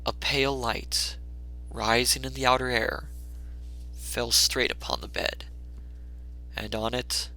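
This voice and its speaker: Male narrator for classic fiction: dramatic scripted read, forceful bright tone, some fry. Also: medium pitch, fairly even intonation, slow, long pauses, soft background music, poor audio. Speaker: storyteller, narrator.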